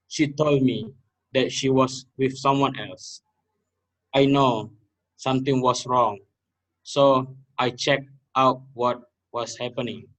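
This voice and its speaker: A bitter, guilty young student, played by a man in an amateur stage reading. He speaks very slowly and haltingly at a medium pitch, with a defensive edge. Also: a bit bright, low-quality recording. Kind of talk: amateur reader's theater acting.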